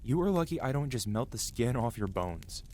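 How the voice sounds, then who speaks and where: A man's voice: mid-range voice, sing-song, normal speed, noisy background, lightly acted. A man voicing an angry yet protective dragon who scolds a rider in a dramatic, emotional fantasy ASMR roleplay. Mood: curt, commanding.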